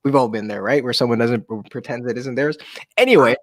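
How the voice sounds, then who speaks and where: A man's voice: mid-range voice, a little fast, no echo, studio quality. A man reading a children's book aloud as a storyteller, with jokey, informal asides. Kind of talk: animated read-aloud with casual chat.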